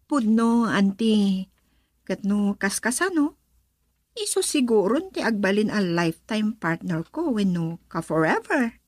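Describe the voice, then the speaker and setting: A woman's voice: mid-range voice, big pitch swings, measured pace, dry recording, studio quality. A woman voicing a sweet, respectful young woman in love in an Ilocano radio drama, with an Ilocano Filipino accent. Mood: sincere, smitten. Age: young adult.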